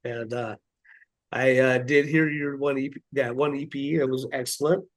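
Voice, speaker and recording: A man hosting a podcast over a video call, his voice raspy and hoarse from the flu. He keeps things friendly and jokey, stumbling through his questions. His pitch sits mid-range and the sound is very dry. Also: fairly even intonation, no room sound. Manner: friendly, joking, halting questions. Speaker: podcast host. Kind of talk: casual interview chat.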